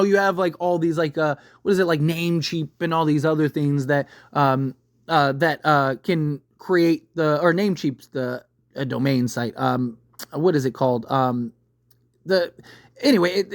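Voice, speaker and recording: A man's voice: on the high side, no room sound, studio quality. A man giving casual, unscripted movie commentary as a video host, rambling and often checking in with the listener by tagging his sentences with a question.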